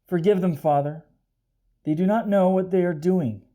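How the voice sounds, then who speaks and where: A man's voice: high-pitched, moderate pace, dark tone, natural voice. A man reading as solemn, earnest storybook Jesus. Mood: pleading. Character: Jesus, earnest, commanding adult male religious leader. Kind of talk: children's storybook read-aloud.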